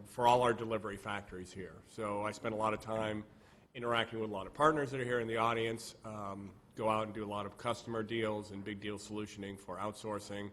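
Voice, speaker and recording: A man's voice: on the low side, a bit bright. A man introducing himself on a panel, businesslike and heavy on fillers like "sort of" and "you know". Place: auditorium.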